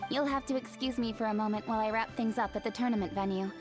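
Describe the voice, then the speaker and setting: A woman voicing a busy, polite tournament staffer in an anime game, brisk in manner. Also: a little high, natural voice. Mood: businesslike. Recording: game music behind.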